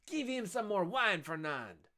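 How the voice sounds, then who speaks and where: Man putting on a high, haughty schemer. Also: very animated, unhurried, pushed and bright, full character voice. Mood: scheming.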